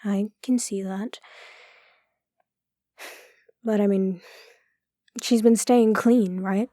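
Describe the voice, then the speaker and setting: A woman's voice: medium pitch, steady tone, extremely slow, mellow, dry recording, clean studio sound. A woman playing a guarded, protective police chief and weary, stubborn older sister in an audio drama. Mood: concerned, gentle.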